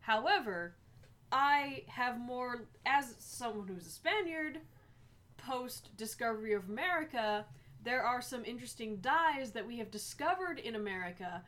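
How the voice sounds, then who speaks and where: A woman's voice: fairly high pitch, lively intonation, measured pace, bright, forceful tone. A woman co-hosting a casual chat, rambling with many ums as she explains things in detail.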